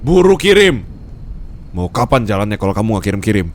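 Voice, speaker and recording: A man's voice: fairly low pitch, sing-song, fairly quick. A man playing a curt, haughty, exasperated young boss in an acted audio roleplay, speaking brusquely and dismissively. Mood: annoyed, scornful. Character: curt, haughty young male boss, reluctant blind-dater. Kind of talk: Indonesian ASMR boyfriend roleplay, acted dialogue. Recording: clear vehicle ambience noise behind voice. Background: car ambience sound effects.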